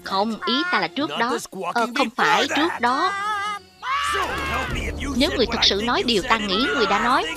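A woman's voice: on the high side, lively intonation, a little fast, pauses often, close to an everyday voice. A woman voicing a betrayed, vengeful male cartoon animal, accusing and threatening. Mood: angry, threatening. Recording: loud music under the voice.

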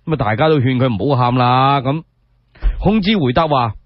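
Animated Cantonese male storyteller, husky voice. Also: medium pitch, no room sound. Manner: expressive, measured, with rhetorical asides. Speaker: solo storyteller. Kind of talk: dramatic scripted narration, radio storytelling. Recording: dull lo-fi radio recording.

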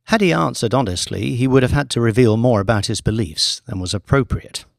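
A man reading a scripted audiobook story in a measured, deliberate storytelling manner. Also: medium pitch, gravelly, dry recording, studio quality. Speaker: audiobook narrator, author reading own book.

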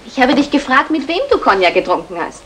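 A quarrelsome young wife from a 1960s German radio play. Her high voice swoops reproachfully, with faint noise from the old recording. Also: sing-song, fast. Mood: suspicious. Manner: reproachful, exasperated. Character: aggrieved, quarrelsome, easily hurt young wife.

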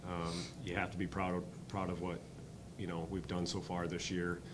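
A man in his thirties, a head coach answering postgame press questions at a table mic, halting with many ums and uhs. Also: low-pitched, little pitch movement, noisy background. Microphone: table mic on stand.

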